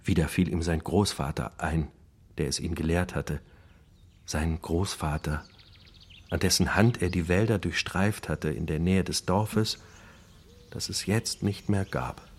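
A man playing the reflective narrator of a gangster's memoirs in a radio play, telling it in a measured, literary way. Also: low-pitched, breathy edge, full character voice. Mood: wistful.

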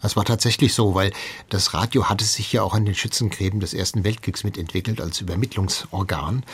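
Low, slightly husky male radio guest. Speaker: studio guest, radio editor and historian. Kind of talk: explanatory interview talk. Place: radio studio.

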